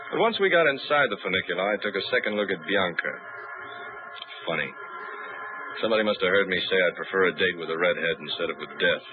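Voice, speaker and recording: An actor playing a cocky freelance pilot who narrates and trades wisecracks in a breezy manner, in a 1950s radio drama. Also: male voice, low voice, close to an everyday voice. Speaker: narrator and lead. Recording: tinny, noisy old radio broadcast.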